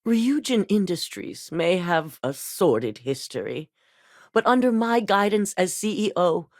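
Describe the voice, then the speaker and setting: A middle-aged actress playing a commanding corporate CEO in a video game, curt and businesslike. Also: female voice, mid-range voice, no room sound, close to an everyday voice. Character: cool, commanding woman CEO of a megacorporation. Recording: dry studio recording.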